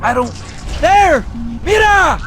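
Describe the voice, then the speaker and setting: A man's voice: very high-pitched, big pitch swings, slow, background noise, full character voice. A man playing a jumpy, panicked young marine in a video game. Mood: alarmed. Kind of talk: video game cutscene voice acting.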